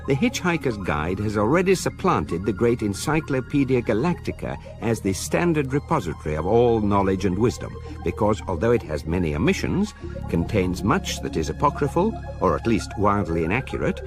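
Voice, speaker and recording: Over prominent music, an actor plays a wry British man narrating a galactic guidebook in a radio comedy. His delivery is measured and deadpan, yet swoops widely in pitch for each precise phrase. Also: natural voice. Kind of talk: scripted comic radio drama narration. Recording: radio production with music behind.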